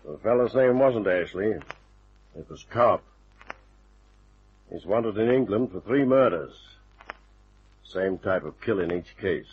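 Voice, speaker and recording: A skeptical police inspector from an old radio crime play. He speaks slowly in a very low, rough voice that swings widely with his doubt. The delivery is stern and deliberate. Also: expressive, narrow, tinny audio, heavily acted. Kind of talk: old-time radio crime drama acting.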